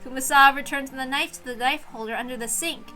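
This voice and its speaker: Female story reader, very high and bright, expressive visual novel narration with soft music underneath. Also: fast.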